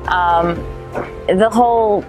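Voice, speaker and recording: A woman with a New Jersey accent talks through video tips in a confident, upbeat way. Her voice sits at an ordinary middle pitch. Music plays clearly behind her. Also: extremely slow, rough recording. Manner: confident, advice-giving, upbeat. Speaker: home staging expert and video marketing guest. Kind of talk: casual interview chat. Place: indoors on a couch. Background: background music.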